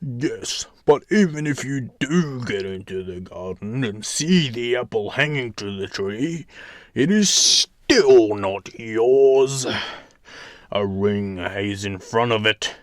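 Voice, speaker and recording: A man voicing a huge storybook giant, gruff and commanding, with loud, drawn-out, emphatic delivery. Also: medium pitch, lively intonation, no room sound. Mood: warning.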